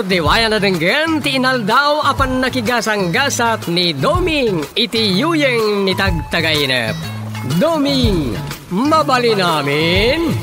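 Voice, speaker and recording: A man announcing a radio drama in a scripted, drawn-out delivery. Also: high voice. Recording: music bed behind voice.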